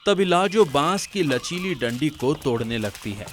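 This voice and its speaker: A man reading scripted narration for an animated family story as its storyteller. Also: on the high side, unhurried, a little hiss or hum.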